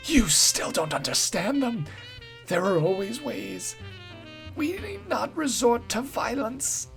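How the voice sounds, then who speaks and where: A man doing a tormented old woman, shrill. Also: big pitch swings, takes it slowly, creaky, a bit bright, faint music behind, exaggerated voice. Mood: pleading. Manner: shrill, strained, swinging between pleading and shrieking. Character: tormented old homeless woman, erratic and pleading.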